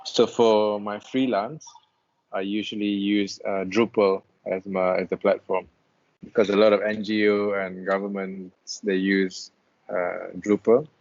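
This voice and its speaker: A young man from Malaysia with a lowish voice answers interview questions over a video call. He talks in a relaxed, easygoing way, full of restarts and fillers. The call audio sounds dull and lo-fi. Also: a little slow. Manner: relaxed, hesitant with many restarts. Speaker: guest, freelance web developer. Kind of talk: casual interview chat.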